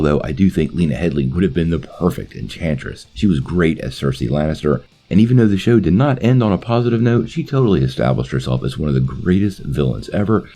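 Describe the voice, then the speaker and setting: Male commentary host: low, creaky voice, animated delivery addressed to online viewers. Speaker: host of a comic book movie channel.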